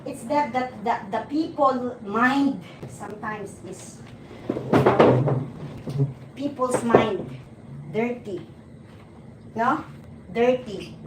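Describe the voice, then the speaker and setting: A woman's voice: on the high side, very noisy. A woman in her forties from the Philippines, with a Filipino accent, hosting a livestream. She chats and teases her viewers, laughs often, and restarts her sentences. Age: 40s. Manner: chatty and teasing, laughs often, halting restarts. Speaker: livestream host. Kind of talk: casual chat with viewers. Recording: roomy, distant mic, noticeable background ambience.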